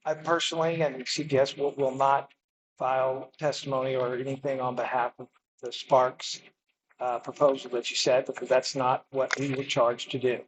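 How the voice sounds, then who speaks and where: A man's voice: mid-range voice, level tone, a little hiss or hum, low-quality recording. Utility guy getting defensive at a meeting, stumbles over his words a lot. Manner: defensive, halting, repeats words. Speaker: utility representative. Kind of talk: public meeting response. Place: public meeting hall.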